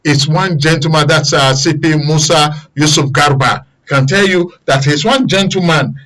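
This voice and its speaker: A Nigerian man talks as a panelist in a discussion of public affairs. His pitch is a bit higher than average for a man, and his delivery is conversational. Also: moderate pace, fairly bright tone.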